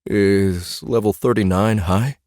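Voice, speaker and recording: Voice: deep voice, slow pace, darkish tone, dry recording, studio quality. A narrator voicing a plain-spoken, hesitant, jokey husband and former farmer in a fantasy audiobook. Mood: puzzled.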